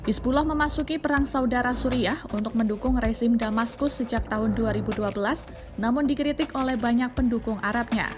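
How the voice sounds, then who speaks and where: A woman with an Indonesian accent reads a scripted news explainer in a formal, measured manner. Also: on the high side, background noise. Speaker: news narrator. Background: background music.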